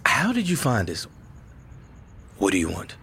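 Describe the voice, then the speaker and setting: An actor playing an ordinary, rattled and disbelieving husband in an audio drama, with a hesitant, conversational delivery. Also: male voice, fairly low pitch, huge pitch swings, measured pace, vocal fry, bright, forceful tone, a little hiss or hum, exaggerated voice. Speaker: actor in a fiction podcast. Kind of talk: naturalistic audio drama acting.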